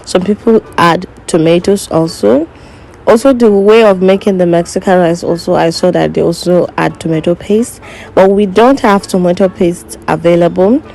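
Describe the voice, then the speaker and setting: A young woman who is not a native English speaker, narrating her cooking step by step in an unhurried way and correcting herself as she goes. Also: on the low side, vocal fry, warm tone, a little hiss or hum. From Nigeria. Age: young adult. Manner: unhurried, self-correcting, instructive. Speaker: cooking vlogger.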